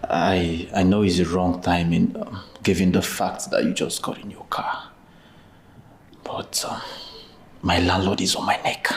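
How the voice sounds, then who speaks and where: A man's voice: deep voice, creaky, a bit bright, a little hiss or hum, exaggerated voice. A man playing an embarrassed, needy friend in a screen drama, hesitant and pleading with a Nigerian accent.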